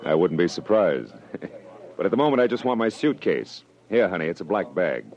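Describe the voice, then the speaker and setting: A man's voice: deep voice, very animated, brisk, a little mellow. An actor playing a wisecracking hardboiled private detective in a classic radio drama, speaking and narrating in wry, slangy tough-guy style. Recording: dull old radio recording with music behind.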